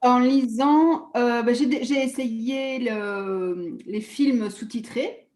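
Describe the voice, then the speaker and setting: A woman's voice: fairly high pitch, darkish tone. Hesitant woman in an echoey room. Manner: hesitant, halting, laughs at herself. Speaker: therapy client. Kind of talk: personal conversation.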